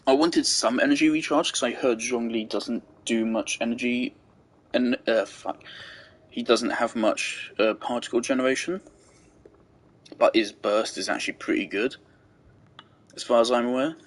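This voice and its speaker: A man's voice: mid-range voice, normal speed, long pauses. A man giving casual gameplay commentary, rambling and thinking aloud as he goes. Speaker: game streamer.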